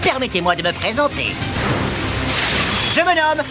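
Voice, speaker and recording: Cartoon villain, reptilian fanatic: mocking, self-important, highly animated, over a music bed. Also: male voice, measured pace, full character voice. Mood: boastful, fervent. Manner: theatrical, mocking. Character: taunting fanatical male lizard-man villain, theatrical and self-important. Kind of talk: French-dubbed anime acting.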